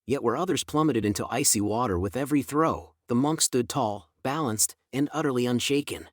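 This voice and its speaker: A man's voice: mid-range voice, fairly quick, no echo, studio quality. A man narrating a scripted fantasy story in a dramatic, ornate storyteller manner. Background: music.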